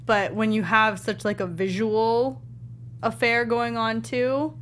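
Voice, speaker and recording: A woman's voice: a little high, little pitch movement, slow pace, fairly bright tone, slight background noise. A woman co-hosting a casual film review panel, giving her take in a conversational style. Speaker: co-host of a film review show.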